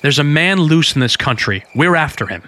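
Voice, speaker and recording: Bright, keen young American detective. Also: male voice, a little high, animated, moderate pace. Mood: urgent, grave. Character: earnest young undercover detective, courteous but insistent. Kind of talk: old-time radio drama acting.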